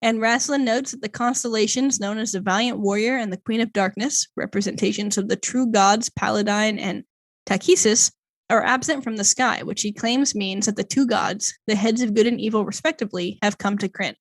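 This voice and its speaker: A woman's voice: mid-range voice, fairly even intonation, fairly quick. Woman podcaster reading a movie plot, lots of likes and ums, trips on fantasy names. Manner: hesitant, filler-heavy, stumbles over fantasy names. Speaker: podcast co-host. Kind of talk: casual chat, reading a plot summary aloud.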